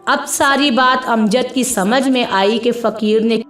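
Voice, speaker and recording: A woman reading a scripted moral story as a narrator, in a measured, dramatic storytelling manner. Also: fairly high pitch, fairly bright tone. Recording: echoey voice with music behind.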